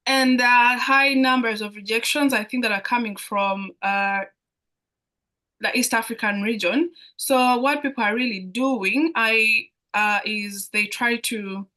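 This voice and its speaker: A young woman from Uganda joins a live online Q&A over a video call. Her voice sits somewhat high, and she talks in a halting way with many fillers and restarts. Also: bright, forceful tone. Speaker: guest student.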